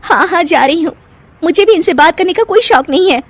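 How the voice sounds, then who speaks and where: A woman voicing a defiant, sharp-tongued young newlywed daughter-in-law in a cartoon family drama, feisty and argumentative. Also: sits high, lively intonation, normal speed, pitched above the performer's own voice. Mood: resentful.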